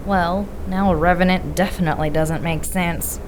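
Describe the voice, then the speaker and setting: A woman's voice: fairly low pitch, steady tone, moderate pace, background noise, lightly acted. A woman playing a stern lore-expert detective. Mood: lecturing. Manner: lecturing, firm. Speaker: occult expert investigator. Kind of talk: audio drama acting.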